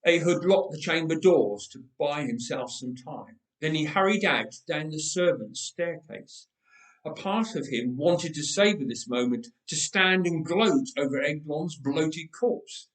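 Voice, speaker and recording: A man reading a dramatic story aloud with measured, emphatic delivery and dramatic pauses. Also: fairly high pitch, expressive. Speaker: storyteller, reader. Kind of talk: dramatic scripted story narration. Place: roomy church interior. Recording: echoey church, distant microphone.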